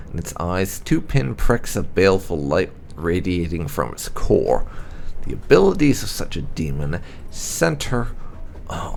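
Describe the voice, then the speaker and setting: A man reading fantasy game lore aloud as scripted narration, with a measured, dramatic storytelling delivery. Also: deep voice, gravelly, slight background noise. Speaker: gaming channel host.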